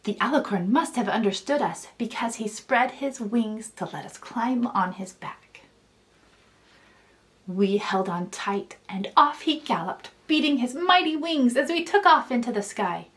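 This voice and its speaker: A woman reading a children's story aloud in an expressive storytelling style. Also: a little high, a little slow. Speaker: children's author reading own book aloud.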